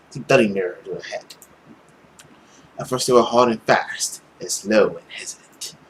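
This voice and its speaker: A young man narrates a story game in an expressive, theatrical style. His pitch moves widely as he reads. The home recording carries a faint layer of noise. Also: low-quality recording. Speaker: gaming video host. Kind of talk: dramatic read-aloud narration with reactions.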